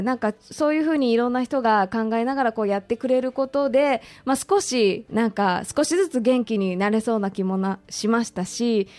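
A woman's voice: medium pitch, darkish tone, no room sound. A woman talking conversationally as a radio guest, politely and expressively sharing personal recollections.

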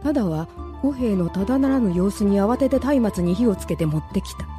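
A woman's voice: mid-range voice, animated, fairly quick, over music. A woman narrating a radio drama as a scripted, dramatic story.

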